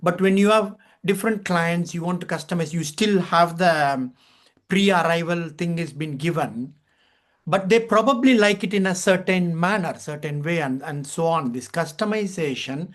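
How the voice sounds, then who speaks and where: A man's voice: on the high side, normal speed. A man hosting a conversational interview, wordy and reflective, with questions that meander. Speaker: interview host.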